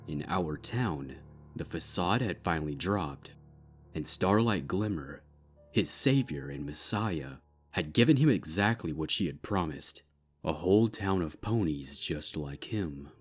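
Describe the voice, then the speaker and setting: A man reading dark fiction as a scripted narrator, measured and with dramatic pauses. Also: deep voice. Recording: music behind the voice.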